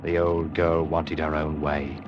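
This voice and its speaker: A man playing a watchful seaman who narrates a classic radio drama with deliberate, weighted pauses. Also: rumbling low, little pitch movement, dull audio, a little hiss or hum. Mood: wry, watchful. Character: weathered, watchful seaman recounting his voyages. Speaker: narrator and character actor. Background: music.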